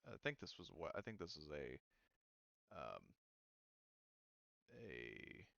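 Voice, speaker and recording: A man acting as game master for an online tabletop roleplaying session, talking haltingly and often muttering to himself as he sets things up. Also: rumbling low, sing-song, gravelly, a bit bright, no room sound, dull audio.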